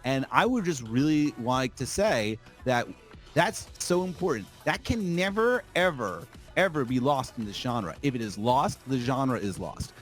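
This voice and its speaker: Male podcast host, mid-pitched, casual but opinionated and ranting, emphatic, swears freely, faint music underneath. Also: big pitch swings, a little slow. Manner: emphatic, repetitive, profane. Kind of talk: casual chat turning into opinionated rant.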